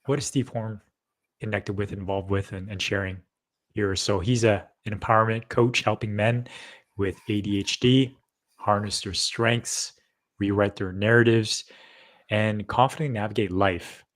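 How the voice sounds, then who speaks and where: Low-voiced man co-hosting a live chat. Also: steady tone, moderate pace. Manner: loose, rambling, with many fillers. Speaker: co-host. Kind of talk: casual live-stream chat.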